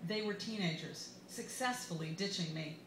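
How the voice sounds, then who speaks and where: An American woman, an author giving an expressive live reading of her memoir in a wry manner. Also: lowish voice, normal speed. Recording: roomy, echoey bar with background noise.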